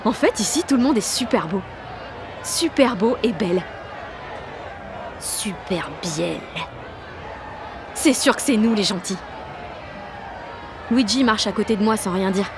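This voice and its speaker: A woman's voice: mid-range voice, expressive, a little slow, long pauses, a crowd in the background, noisy background. An actress playing a slangy, self-conscious sixteen-year-old girl in a French audio drama, gushing and mocking herself as she narrates. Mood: wide-eyed wonder. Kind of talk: audio drama, slangy first-person inner narration and dialogue.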